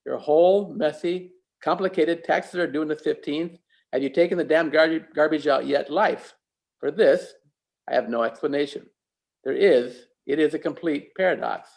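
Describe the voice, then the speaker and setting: A man delivering a reflective sermon as a preacher, speaking deliberately and pausing for emphasis. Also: fairly high pitch, creaky, a little mellow.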